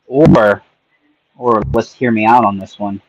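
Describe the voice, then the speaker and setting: A man's voice: fairly low pitch, steady tone, a little slow, no room sound, muffled recording. Joking man picking locks on a livestream. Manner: joking, chatty, talks to himself while working. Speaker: livestream host. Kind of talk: casual chat while lockpicking.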